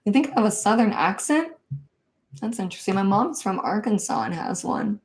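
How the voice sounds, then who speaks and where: A young woman from Kansas hosting a casual livestream, reading viewer comments and answering in a soft, hesitant way with many ums and likes. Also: mid-range voice, animated, a little fast. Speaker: livestream host.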